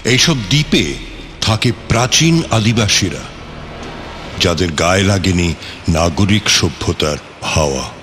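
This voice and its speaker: A man's voice: low voice, lively intonation, measured pace, long pauses. A man narrating a radio play from a script in a dramatic storytelling style. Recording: long reverb, music bed.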